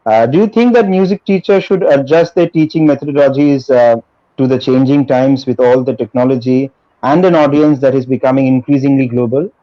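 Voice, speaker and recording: A man co-hosting a talk show, asking questions in a measured, polite way with occasional fillers. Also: medium pitch, dark tone. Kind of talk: interview questions. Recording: dull lo-fi recording.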